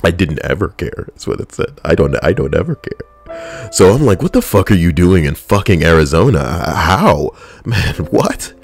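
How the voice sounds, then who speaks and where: A man's voice: low voice, big pitch swings, normal speed, creaky, soft background music. A young adult man, a military veteran, telling a personal story in a blunt, profane, conversational way.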